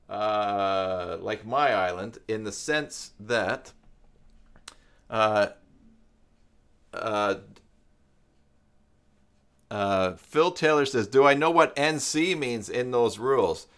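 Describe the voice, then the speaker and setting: A man's voice: medium pitch, fairly bright tone. A man hosting a casual live tutorial, thinking aloud and rambling as he demonstrates and chats with viewers. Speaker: live stream host and web tutorial instructor. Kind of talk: casual live screen-share tutorial with chat.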